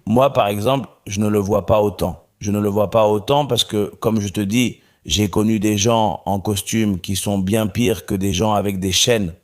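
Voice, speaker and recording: Calm low-voiced Moroccan man. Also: moderate pace, few pauses. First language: Arabic. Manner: calm, repeats himself. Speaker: guest. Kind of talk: interview conversation.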